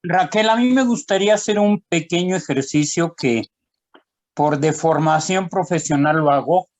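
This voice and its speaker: A man hosting a panel discussion who speaks deliberately and poses questions to his guests. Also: on the high side.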